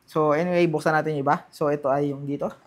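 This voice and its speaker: A man's voice: a little high, fairly even intonation, fast talker, slight background noise. A Filipino man doing casual unboxing and testing commentary as a vlogger, talking informally straight to his viewers and mixing in English. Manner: informal, addresses viewers directly, mixes Tagalog and English.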